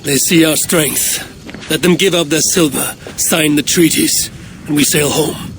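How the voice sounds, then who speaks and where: An actor playing a steady, blunt Viking warrior leader in a video game cutscene, speaking tersely and evenly. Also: male voice, fairly high pitch, measured pace, background noise. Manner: terse and level.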